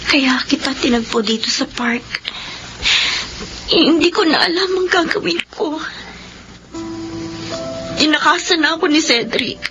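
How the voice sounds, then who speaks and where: High-pitched distressed young woman acted, over music. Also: a little slow, pauses often. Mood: tearful. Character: distressed pregnant young woman, desperate but resolute. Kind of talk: melodramatic radio drama acting. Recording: dull sound, music underneath.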